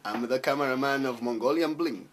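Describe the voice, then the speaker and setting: A twenty-two-year-old man from Spain, explaining things carefully and a little haltingly. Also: medium pitch, a little hiss or hum. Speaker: cameraman. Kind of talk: casual explanation to camera.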